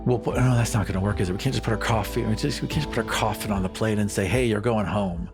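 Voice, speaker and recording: A man's voice: fairly low pitch, rapid-fire, music in the background. A man chatting casually as a tabletop game player, improvising what his character will do and say. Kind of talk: casual improvised roleplay chat.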